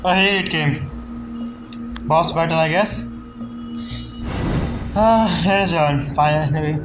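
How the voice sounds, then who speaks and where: A man's voice: a little high, slow. A man streaming a video game, giving casual commentary in short, muttered reactions. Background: game music.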